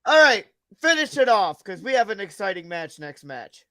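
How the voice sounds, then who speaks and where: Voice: medium pitch, sing-song, normal speed, crowd noise. A person in their thirties, a goofy co-commentator chatting casually. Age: 30s. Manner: goofy, hums and scats nonsense. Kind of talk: casual chat.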